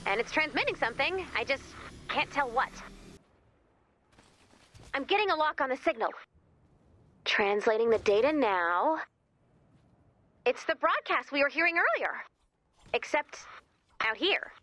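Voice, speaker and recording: An actress playing a brisk, wry, easily exasperated woman in command of a base in an animated video game, with dry sardonic delivery. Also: high voice, no room sound, heavily acted. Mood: businesslike. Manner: dry, sardonic, hesitant when flustered.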